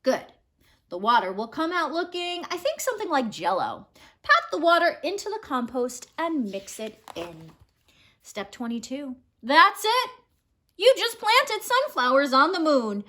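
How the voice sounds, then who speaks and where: High-pitched, animated woman reading a storybook. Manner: animated, expressive storytelling with drawn-out words. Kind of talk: children's picture book read-aloud. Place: small home room, indoors.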